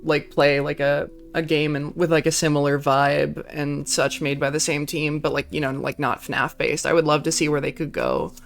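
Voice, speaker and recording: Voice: mid-range voice, steady tone, moderate pace, creaky, hardly any pauses, faint music behind. A streamer wrapping up a live game session in casual chat, talking freely with lots of "like" and swearing.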